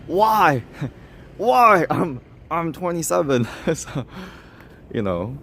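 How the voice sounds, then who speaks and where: A man's voice: fairly high pitch, sing-song, extremely slow, fairly bright tone, slight background noise. Laughing, self-mocking young man on stream. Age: 20s. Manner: laughs often, self-mocking, talks straight to chat. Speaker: livestreamer. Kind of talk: casual livestream chat reading comments.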